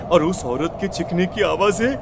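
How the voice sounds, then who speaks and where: A man's voice: high-pitched, animated, moderate pace, gravelly, noisy background. A man acting an agitated, exclamatory village farmer in a horror story. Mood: anxious. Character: alarmed, urgent village farmer rousing his friend.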